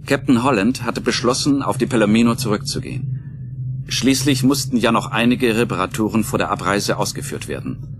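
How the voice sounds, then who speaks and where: A man's voice: lowish voice, moderate pace, background noise. A man narrating a scripted audio drama as the storyteller, in a dramatic storytelling style. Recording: music behind the voice.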